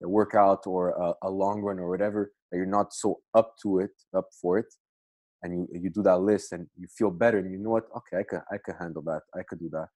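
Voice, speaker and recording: A man's voice: sits low, fairly even intonation, fast talker, darkish tone, no room sound. A man hosting a podcast in casual chat, rambling with lots of fillers and restarts. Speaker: podcast host.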